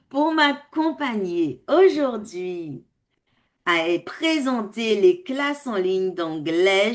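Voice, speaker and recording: A woman hosting an online information meeting for parents on a video call, speaking in an animated way, laughing often and changing pace. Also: medium pitch. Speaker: host of a parents' club.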